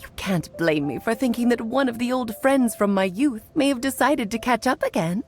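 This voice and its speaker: A woman's voice: a little high, lively intonation, moderate pace. A woman voicing a playful, grand elder witch in a video game, theatrical and coy, with a motherly storytelling manner. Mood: coy, teasing. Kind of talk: video game character acting. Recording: music playing behind.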